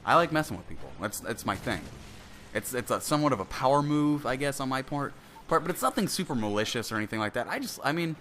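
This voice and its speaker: A man's voice: mid-range voice, very animated, fast, fairly bright tone, slight background noise. A man in his twenties with a cold and a nasal, stuffy voice, rambling through a solo commentary and often breaking off mid-sentence to restart. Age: 20s. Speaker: gaming commentator.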